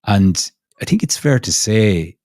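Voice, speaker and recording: A deep-voiced man hosting a casual podcast, friendly and steering the talk with questions. His voice sits low and sounds dry and close. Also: lively intonation, unhurried, a little mellow, no echo. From Ireland. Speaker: host. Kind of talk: casual chat.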